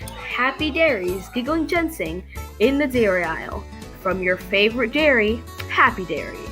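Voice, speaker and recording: A woman playing a perky pitchwoman in an old-time radio commercial. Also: expressive, normal speed, fairly bright tone, soft background music. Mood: perky, selling.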